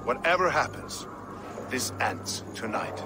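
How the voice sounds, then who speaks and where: A man's voice: mid-range voice, animated, a little slow, over loud music, close to an everyday voice. A man playing a wry, hard-bitten, plain-spoken medieval soldier in a video game cutscene. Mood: determined. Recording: noisy outdoor game ambience.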